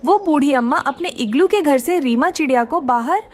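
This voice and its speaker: A woman's voice: high voice, animated, moderate pace, a little mellow. A woman giving scripted narration for a children's cartoon story.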